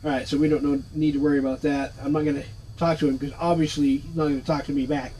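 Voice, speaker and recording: Slightly high-voiced man playing a game. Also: fast, slight background noise, low-quality recording. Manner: relaxed, thinking aloud. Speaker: gaming channel host. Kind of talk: casual let's-play commentary.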